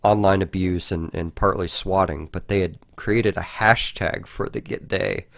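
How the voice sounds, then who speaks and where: A man's voice: deep voice, fairly even intonation, unhurried, gravelly, a bit bright, no room sound, clean studio sound. A man co-hosting a podcast, talking casually and informally with frequent swearing. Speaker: podcast co-host.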